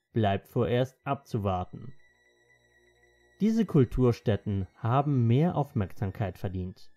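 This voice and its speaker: A man's voice: mid-range voice, mellow. A man narrating a scripted documentary as its narrator.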